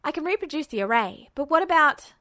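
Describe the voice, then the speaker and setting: A woman voicing a brash, impatient young alchemist who talks quick and defiant, in a fan audiobook reading. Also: sits high, big pitch swings, sharp tone, no room sound, studio quality, exaggerated voice.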